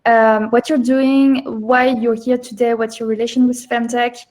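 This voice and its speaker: A woman moderating a panel, brief and even as she hands over turns. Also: a little high, normal speed.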